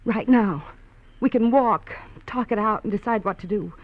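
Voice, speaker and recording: An actress playing a devoted, worried young wife in a 1950s radio drama, earnest and pleading. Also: female voice, mid-range voice, normal speed, close to an everyday voice. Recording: thin, tinny 1950s radio broadcast.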